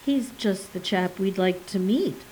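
A woman's voice: medium pitch, unhurried, darkish tone, slight background noise, lightly acted, poor audio. A woman voicing a skeptical male geologist who corrects others in a condescending, matter-of-fact way. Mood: dismissive.

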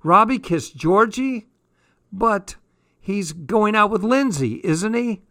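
A man voicing a nosy middle-aged mother in an audiobook, calling out loudly through the house. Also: high voice, lively intonation, slow pace, dry recording, clean studio sound, pitched above the performer's own voice, full character voice. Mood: astonished.

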